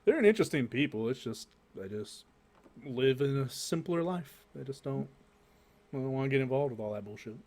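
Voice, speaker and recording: A man's voice: medium pitch, expressive, brisk, a little mellow. A man co-hosting a podcast in casual group chat, speaking informally with some swearing. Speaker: podcast co-host.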